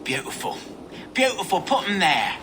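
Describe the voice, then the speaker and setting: Sly, swooping bandit boss. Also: male voice, high-pitched, big pitch swings, moderate pace, noisy background. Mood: smug. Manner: theatrical, taunting, falsely genial. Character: sly older male bandit gang boss, smooth and mocking. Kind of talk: video game cutscene acting.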